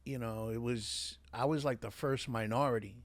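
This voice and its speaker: A man's voice: mid-range voice, steady tone, moderate pace, no room sound. A middle-aged man with a New York accent, a podcast guest telling his life story in casual, streetwise talk with lots of restarts. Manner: streetwise, repeats himself, says man a lot.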